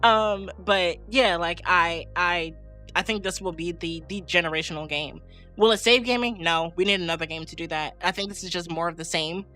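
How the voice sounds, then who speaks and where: A woman with a bright, forward voice pitched a little low, chatting casually as a podcast co-host. She has a slight cold and talks in an emphatic, slangy way, with faint music behind her. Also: moderate pace. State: slight head cold with sniffles. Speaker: podcast co-host and game streamer. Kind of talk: casual unscripted podcast chat.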